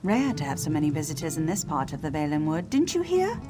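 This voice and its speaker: Haughty, low-pitched wood elf leader. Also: female voice, huge pitch swings, fast talker. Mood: mocking, dismissive. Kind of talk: video game character acting. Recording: music behind the voice.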